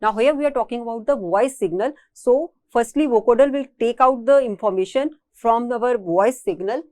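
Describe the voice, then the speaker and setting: A woman's voice: fairly high pitch, moderate pace, darkish tone, dry recording. A woman with an Indian accent giving a recorded technical lecture as a college lecturer, explaining and rephrasing her points.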